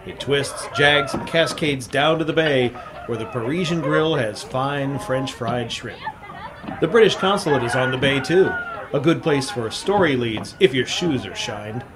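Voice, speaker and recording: A man's voice: medium pitch, animated, a little slow, noisy background, natural voice. An actor playing a hard-boiled, sly American freelance newspaperman, with a clipped, wry delivery in an old-time radio drama. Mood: wry narrating. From United States, San Francisco. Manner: clipped, wry, world-weary storytelling. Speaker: lead actor and narrator. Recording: vintage radio broadcast.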